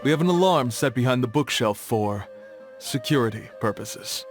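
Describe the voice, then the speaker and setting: A man's voice: mid-range voice, expressive, moderate pace, music in the background, close to an everyday voice. A man voicing a cautious archive keeper who speaks in a guarded, matter-of-fact way in a game cutscene.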